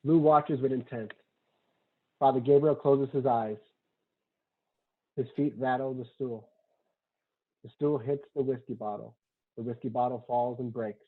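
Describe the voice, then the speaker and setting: A man's voice: medium pitch, fairly even intonation, unhurried, long pauses. Casting: male script narrator, mid-range voice, matter-of-fact, thin band-limited recording. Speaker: stage-directions narrator. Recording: muffled, dull lo-fi sound.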